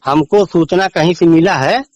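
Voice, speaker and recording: A man with an eastern Uttar Pradesh accent, a villager making a complaint over a recorded phone call, speaking haltingly and respectfully. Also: on the high side. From Azamgarh region, India. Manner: deferential, halting. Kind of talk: answering questions in a phone call.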